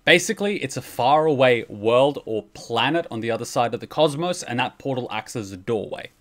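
A man's voice: medium pitch, expressive, brisk. A young adult man giving casual livestream commentary as the host of a reaction stream. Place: bedroom, indoors.

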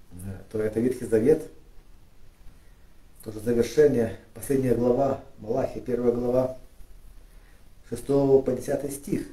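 A middle-aged man from Ukraine preaching a sermon, halting with fillers and restarts, rising and emphatic when he reads scripture aloud. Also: fairly high pitch, dark tone. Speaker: pastor. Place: church studio with flags and lit cross. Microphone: far camera. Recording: echoey room, voice from a distance.

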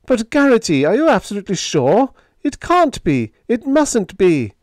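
An earnest young male lieutenant from pulp science fiction, acted out by a male narrator. His voice rides high and swings widely, with formal, urgent emphasis. The sound is dry and close. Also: expressive, moderate pace, a little mellow, no echo, close to an everyday voice. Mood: shocked.

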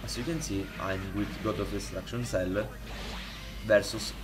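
A man with an Italian accent, speaking English as a second language, walks through a modding tutorial in a halting way, with many fillers and restarts, recorded on a desktop microphone. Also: fairly low pitch, steady tone, music in the background. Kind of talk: casual screen-recorded tutorial. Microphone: desktop USB microphone.

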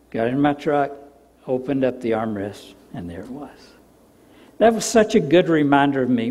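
An older man, a pastor preaching a sermon in a church sanctuary, telling stories and dropping to soft, emphatic repeats. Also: medium pitch. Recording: long reverb of a church hall.

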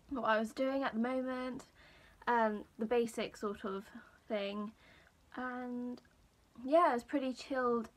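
A young woman vlogger with a high register and a croaky voice, chatting casually to camera in a small room, with lots of ums. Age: young adult. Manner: chatty, many ums, relaxed storytelling.

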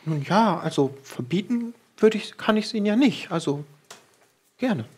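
A man's voice: high-pitched, lively intonation, normal speed, darkish tone, husky. A man voicing a young, obliging housemaid who talks in a polite, gossipy, hesitant manner in an audio drama. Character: young chatty, obliging housemaid Isabelle, a woman. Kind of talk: improvised pen-and-paper audio drama acting.

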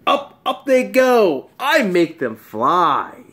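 A man's voice: sits high, wildly swooping pitch, slow pace, fairly bright tone, exaggerated voice. A man voicing an eager spotted animal in a storybook, boastful and pleading as it shows off. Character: eager spotted animal showing off magic tricks. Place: small bright room at home.

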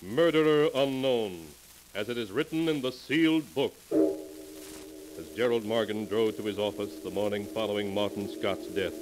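A man's voice: on the low side, measured pace, a little hiss or hum, rough recording. A man narrating a mystery in dramatic 1940s radio style. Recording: old radio recording under loud music. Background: loud music.

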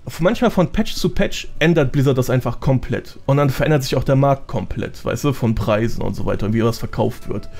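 A man's voice: medium pitch, fairly quick, vocal fry, hardly any pauses, a little mellow. A man chatting casually as a podcast guest, explaining things in slangy speech sprinkled with English gaming terms. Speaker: podcast guest, gold-making gamer.

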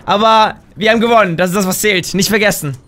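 A young man commentating his own gameplay on a headset mic, excitable and quick to shout, a little hoarse and tired. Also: sits high. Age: young adult. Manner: excitable, exclaims and shouts at the game. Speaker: gaming YouTuber. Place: home gaming room, indoors.

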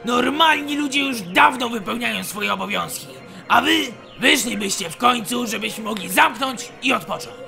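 A man playing an angry, exasperated elf innkeeper in a fantasy audio drama, scolding with a strained shout. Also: moderate pace, hardly any pauses, soft background music, heavily acted. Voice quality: creaky, strained high shouting. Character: angry male elf innkeeper shooing out late drinkers.

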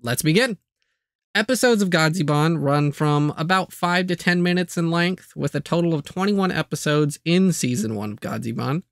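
A man with a somewhat high-pitched voice narrates as the host of a video channel. The sound is dry, with very little room in it. His scripted delivery is broken up by light, self-aware asides. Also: animated, normal speed, vocal fry, no echo.

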